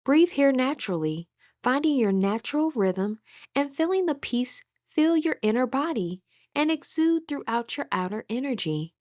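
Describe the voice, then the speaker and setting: Female meditation guide, fairly high voice, calm and soothing, measured phrasing, close dry recording. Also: no room sound.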